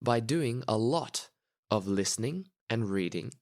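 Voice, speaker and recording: A man hosting a podcast for English learners, speaking in careful, clearly scripted narration. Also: mid-range voice, expressive, darkish tone. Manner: careful, deliberate, explanatory. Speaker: podcast host, language teacher.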